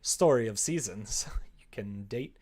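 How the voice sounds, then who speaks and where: A man's voice: on the low side, lively intonation, measured pace, a little mellow. Man musing casually on a livestream. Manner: musing aloud, joking, reads chat and drafts aloud. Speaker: streamer writing a visual novel. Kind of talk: casual livestream chat while writing. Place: indoors at a computer desk.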